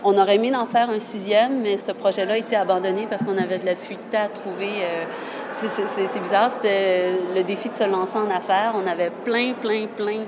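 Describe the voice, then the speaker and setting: A woman's voice: mid-range voice, fairly even intonation, fairly quick, noisy background. A woman with a Québécois French accent in her thirties, a journalist talking earnestly in an interview, often restarting her phrases. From Quebec. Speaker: journalist guest. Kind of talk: casual interview talk.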